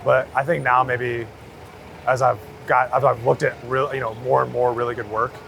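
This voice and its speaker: A man's voice: mid-range voice, level tone, moderate pace, gravelly, fairly bright tone. A man talking casually in slangy, anecdotal style, as a street photographer being interviewed outdoors in the city. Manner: slangy, anecdotal, hedging with "like" and "you know". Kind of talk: casual chat. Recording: city street noise, traffic around.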